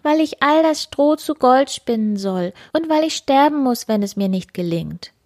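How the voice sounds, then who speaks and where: A woman voicing a desperate young miller's daughter in a storybook tale, high and pleading. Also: big pitch swings, normal speed, darkish tone, no echo, clean studio sound. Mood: despairing.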